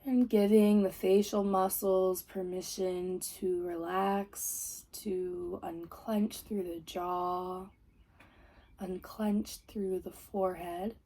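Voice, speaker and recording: A woman leading a guided meditation as a teacher, giving gentle, soothing, unhurried instructions. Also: medium pitch, level tone. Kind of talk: guided body scan meditation.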